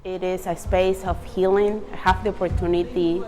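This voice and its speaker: Low-voiced woman performer, reverberant. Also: flat delivery, normal speed, mellow. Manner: searching, hesitant with fillers. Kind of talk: documentary interview. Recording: reverberant with faint music.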